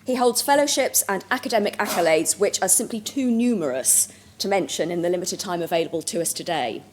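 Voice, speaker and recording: A woman hosting a conference, formally reading a speaker's introduction in a measured way. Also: on the low side, animated, a little hiss or hum. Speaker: event host introducing a speaker.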